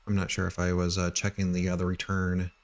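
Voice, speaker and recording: A middle-aged man from Missouri thinking aloud while he writes code, halting with many ums and uhs. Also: sits low, completely flat delivery, soft background music. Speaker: live-coding streamer.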